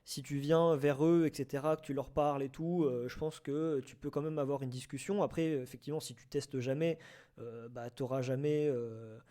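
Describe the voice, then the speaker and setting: A man's voice: medium pitch, fairly even intonation, moderate pace, dark tone. A man in a casual debate, often groping for the right word and repeating himself. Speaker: panelist in a debate. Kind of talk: casual debate talk about audio fiction.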